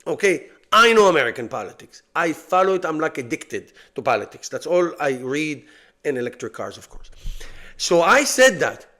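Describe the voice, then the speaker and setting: A man's voice: a little high, very animated, moderate pace, pushed and bright. A middle-aged man from Israel with an Israeli accent, talking through his political opinions in a casual monologue, rambling and repeating himself as he goes. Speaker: YouTube channel host.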